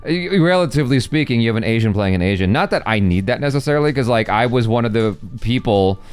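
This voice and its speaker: A man's voice: medium pitch, expressive, fast talker, smooth. A man co-hosting a reaction video, talking casually in a rambling, conversational way and often qualifying his opinions.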